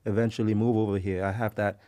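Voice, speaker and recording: A man's voice: lowish voice, little pitch movement, quick pace, dark tone, dry recording. A young man from Ghana, a podcast guest, talking easygoingly and reflectively in a casual interview. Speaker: podcast guest, civil engineer.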